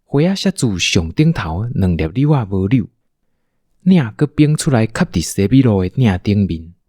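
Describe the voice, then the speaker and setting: A man's voice: lowish voice, sing-song, normal speed, dark tone, no echo, studio quality. A man with a Taiwanese accent narrating a scripted audio drama as a storyteller.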